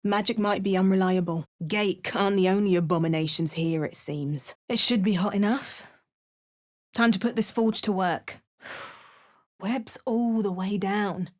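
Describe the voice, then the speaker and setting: Actress playing a British female game hero; dry wit, steady mid-range voice, close booth mic. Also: medium pitch, unhurried, close to an everyday voice. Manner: dry, wry and matter-of-fact. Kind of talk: video game voice lines. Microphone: close studio mic.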